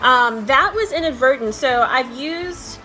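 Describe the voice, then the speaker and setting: A woman's voice: high-pitched, animated, unhurried, sharp tone, soft background music, low-quality recording. A woman hosting a video editing tutorial in a casual, chatty, informal way.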